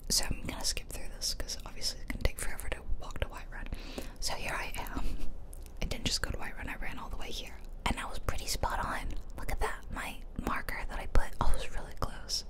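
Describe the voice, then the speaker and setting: A woman whispering casual, playful game commentary in a breathy ASMR style, close to the microphone. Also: brisk. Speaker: gaming video host. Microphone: close ear-to-ear ASMR microphone.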